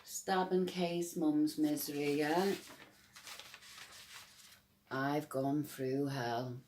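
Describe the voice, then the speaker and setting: Low-voiced Scouse woman, echoey room. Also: fairly even intonation, very slow, background noise. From Liverpool, England. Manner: rambling, emphatic, many restarts and fillers. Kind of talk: personal testimony to camera.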